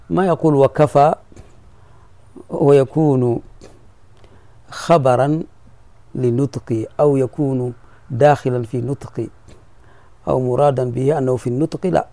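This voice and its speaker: A man teaches grammar to his students, going over each point patiently and repeating key phrases. His voice is dark and mellow. The sound is dry and close. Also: mid-range voice, fairly even intonation, measured pace, vocal fry, pauses often, no room sound. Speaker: sheikh teaching Arabic grammar. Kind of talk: classroom explanation of a grammar poem.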